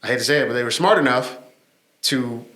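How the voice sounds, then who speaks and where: A man co-hosting a podcast, explaining his views in a measured, steady way. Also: mid-range voice. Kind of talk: casual chat. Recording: echoey room.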